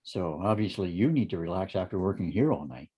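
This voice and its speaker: A man acting an inquisitive ad man at a bar, in a low, husky voice that moves around a lot. He probes conversationally, as in an informal drama table read. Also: expressive, a little fast, lo-fi sound. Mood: curious, casual.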